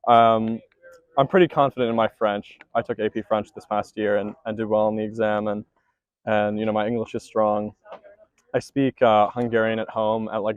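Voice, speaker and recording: Teen guy rower chatting after a race, low even voice, lots of likes and ums, dull dry sound. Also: clean tone, no room sound. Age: teens. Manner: casual, many fillers and restarts. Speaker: junior rower. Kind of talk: post-race interview.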